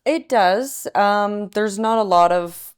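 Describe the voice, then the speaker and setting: A woman's voice: mid-range voice, slow, clean tone. A woman from Canada, a guest on a podcast, giving brief answers with frequent ums. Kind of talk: casual interview chat.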